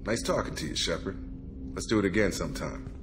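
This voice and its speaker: A man's voice: sits low, fairly quick, noisy background, close to an everyday voice. An actor playing a blunt, guarded male soldier in a video game, plain-spoken and loyal. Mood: guarded, frank.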